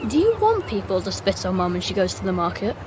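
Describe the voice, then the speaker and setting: A woman's voice: medium pitch, big pitch swings, fast talker, lightly acted. A woman voicing a bossy, exasperated young older sibling in a video game, insistent and flustered. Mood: scolding. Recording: clear background noise.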